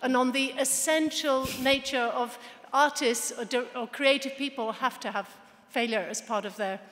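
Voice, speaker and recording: A woman's voice: high-pitched, moderate pace, a bit bright. A woman in the audience asking the panel a question, earnest and slightly rambling. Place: large hall. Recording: hall reverb.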